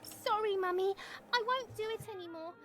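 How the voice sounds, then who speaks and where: A woman's voice: extremely high pitch, moderate pace, a bit bright. A woman voicing a frightened little girl who pleads and cries out in a dramatic video game. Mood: pleading, sorry. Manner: pleading, crying out.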